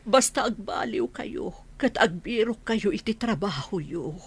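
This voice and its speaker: Sick old mom in a radio drama, husky n breathy, long-suffering but caring. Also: female voice, medium pitch, expressive, a little slow, full character voice. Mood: weak, pained. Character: sick older mother, a former maid, long-suffering and caring. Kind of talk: Ilocano radio drama acting.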